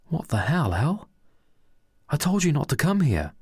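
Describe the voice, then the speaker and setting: A man voicing a stressed young man who snaps in exasperated, sharp outbursts. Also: low-pitched, brisk, no echo, studio quality.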